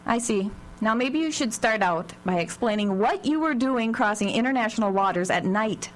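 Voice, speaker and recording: A woman's voice: on the high side, animated, normal speed, close to an everyday voice. A woman playing a wry, authoritative small-town sheriff in a live radio drama. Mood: dry, stern. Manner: dry, authoritative. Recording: noisy live radio broadcast, hum.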